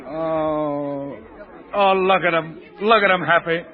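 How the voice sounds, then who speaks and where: High-voiced, bossy gangster boss. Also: male voice, takes it slowly, a faint crowd. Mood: exasperated. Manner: slangy, barking orders. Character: bossy, exasperated New York gangster boss. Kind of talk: 1930s radio comedy acting. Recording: muffled old radio recording.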